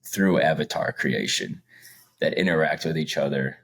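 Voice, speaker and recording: A man's voice: extremely low pitch, animated, moderate pace, vocal fry, breathy edge. A young man co-hosting a podcast, rambling casually with lots of fillers and laughs between points. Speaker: podcast co-host. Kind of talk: casual chat.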